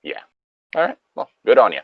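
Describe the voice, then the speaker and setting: A man's voice: a little fast, dry recording. A man co-hosting a story reading show, reading aloud and chatting casually with joking, wry asides. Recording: dry, slightly dull lo-fi mic.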